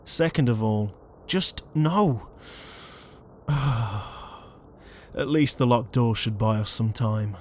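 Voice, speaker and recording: A man's voice: medium pitch, drawn-out words, darkish tone, slight background noise. A man playing an exasperated, conscientious ghost in a comedic audio roleplay, flustered, hesitant and pleading. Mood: exasperated, urgent. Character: exasperated, conscientious male ghost, murdered shut-in.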